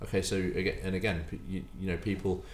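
Man, 40s, very low voice, emphatic baker-owner, roomy room sound with faint noise. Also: fast. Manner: animated, emphatic, repeats words as he restarts. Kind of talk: casual podcast interview. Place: bakery room with hard walls. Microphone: desk mic on stand.

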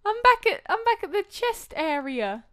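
A high-pitched woman chats through a shared game, playful and a little plaintive. Her pitch bounces around a lot, and the recording is dry and close. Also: big pitch swings, moderate pace, a bit bright, no room sound. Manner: plaintive and playful, whines at setbacks. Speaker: gaming video co-host. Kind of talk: casual gameplay chat.

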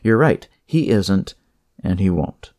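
A man voicing a calm, reassuring hospital doctor in a story reading, firm and explanatory. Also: low voice, takes it slowly, dry recording, studio quality.

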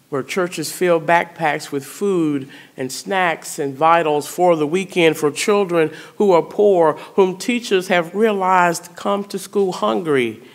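Slow-speaking woman priest preaching. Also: on the low side. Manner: conversational, asks the congregation questions. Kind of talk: sermon. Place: church sanctuary. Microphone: stand mic in front of pulpit.

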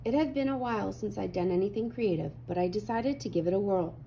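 A woman reading a children's picture book aloud with animated, dramatic emphasis. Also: medium pitch, fast, vocal fry, slight background noise, low-quality recording. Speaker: read-aloud host.

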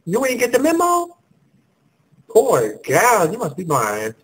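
A man's voice: high voice, huge pitch swings, slow pace, voice pushed up, heavily acted. A man voicing a sassy, blunt female phone company customer service rep who scolds callers in heavy slang. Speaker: comedian. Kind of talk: sketch comedy monologue.

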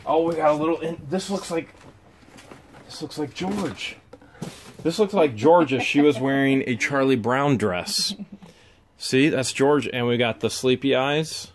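A man's voice: mid-range voice, unhurried. A man hosting an unboxing vlog, chatting casually and exclaiming over each find, recorded on a handheld camera in a garage. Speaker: vlog host unboxing storage finds.

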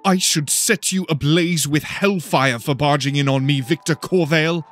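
A man voicing a sharp-tongued, condescending, hot-tempered young woman in an audiobook. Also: on the high side, normal speed, faint music behind, pitched above the performer's own voice, lightly acted. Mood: furious. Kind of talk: dramatic audiobook character voice.

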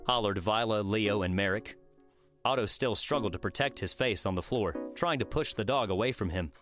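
A man's voice: lowish voice, moderate pace, fairly bright tone, faint music behind. A man reading a work of fiction aloud as scripted audiobook narration.

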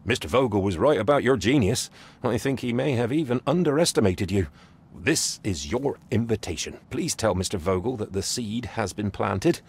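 A man's voice: on the low side, expressive, moderate pace, slightly rough. A man playing a deferential, admiring messenger disguised as a sailor in a video game. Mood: impressed, courteous. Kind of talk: video game cutscene acting.

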